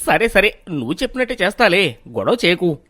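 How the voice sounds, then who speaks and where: A man's voice: sits high, sing-song, fairly quick, sharp tone, dry recording, clean studio sound, exaggerated voice. A man playing an elder brother and henpecked husband in a moral story, talking in a placating way. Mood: hesitant, placating.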